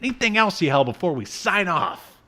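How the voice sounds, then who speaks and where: A man's voice: high voice, sing-song, fairly quick, sharp tone. A man co-hosting a podcast in a casual, joking livestream chat, poking fun at himself. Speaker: podcast co-host.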